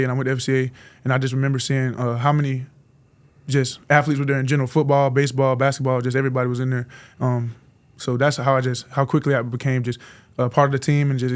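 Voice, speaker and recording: Twenties male athlete from Florida, polite and soft-spoken, fast delivery, little pitch movement. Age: 20s. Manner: soft-spoken, polite, answers with "yes, sir". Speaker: college football player, guest. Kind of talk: casual interview answers.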